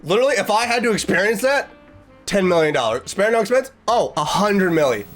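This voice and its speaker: Animated man reacting, higher-pitched voice, music behind. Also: brisk. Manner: animated, sarcastic, mock-excited asides. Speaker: reaction video host. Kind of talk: casual commentary reacting to a video.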